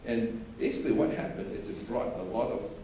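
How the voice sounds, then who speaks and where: A man's voice: mid-range voice, animated, fairly quick, dark tone, slight background noise. A man speaking matter-of-factly as a panelist, with frequent restarts and fillers. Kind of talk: panel discussion. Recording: echoey hall, distant mic.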